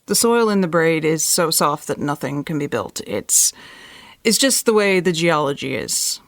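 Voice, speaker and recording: An actress playing a thoughtful local woman, explaining things in a measured, hesitant way as in a documentary interview. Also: low voice, big pitch swings, dry recording, studio quality. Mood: thoughtful, somber. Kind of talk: interview-style audio drama monologue.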